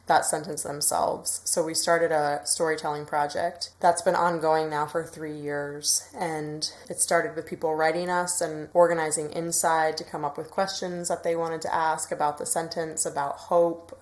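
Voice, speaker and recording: Woman guest explaining her work in an interview, pretty low voice, flat even pitch.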